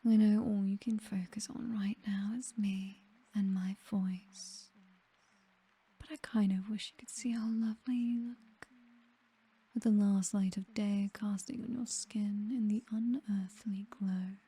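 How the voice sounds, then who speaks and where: A woman acts out a seductive British vampire mistress for an ASMR roleplay. Her voice is a very breathy, dark whisper, unhurried and hypnotic. She is possessive and commanding, yet tender as she coaxes. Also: mid-range voice. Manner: slow, coaxing, hypnotic, teasingly commanding.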